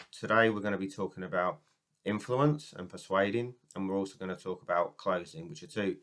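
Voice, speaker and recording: Deep-voiced man with a sore throat. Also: fairly bright tone. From United Kingdom. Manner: rambling, many ums and restarts. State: has a cold and sore throat. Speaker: network marketing business coach. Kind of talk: casual talk to camera.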